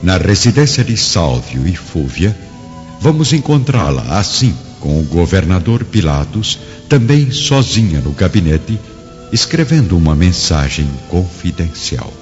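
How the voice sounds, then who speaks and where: A man gives solemn, measured narration for a radio drama in a very deep voice. The sound is muffled and heavily reverberant, with music behind. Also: big pitch swings, darkish tone.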